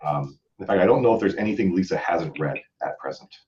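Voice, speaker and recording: A man's voice: low voice, animated, fast talker, a little mellow, muffled recording, a little hiss or hum. A man hosting a casual group podcast, rambling along talkatively. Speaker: podcast host and author.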